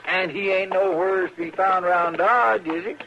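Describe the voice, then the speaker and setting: Creaky, high-voiced folksy cowboy sidekick. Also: male voice, fairly even intonation, normal speed, bright, forceful tone, full character voice. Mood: complaining. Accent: rural Western American drawl. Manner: folksy, deferential, querulous. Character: folksy, grumbling, eager-to-please cowboy deputy sidekick. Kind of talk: 1950s western radio drama acting. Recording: dull, faintly noisy old radio recording.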